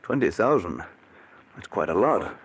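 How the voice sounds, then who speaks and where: A guilt-ridden, anxious husband with a husky voice whose pitch rises and falls through worried, hesitant questions. He sounds troubled and easily pushed. The sound is an old 1940s radio broadcast. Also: sing-song, a little slow, exaggerated voice. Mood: uneasy.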